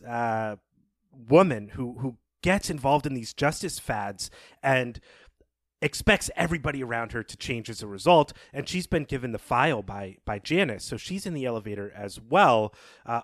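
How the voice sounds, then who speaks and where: A man with a medium-pitched voice co-hosts a casual literary discussion. He sounds analytical and phrases his thoughts with care, in a very dry recording with no room sound. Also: a bit bright, no room sound. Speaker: podcast co-host.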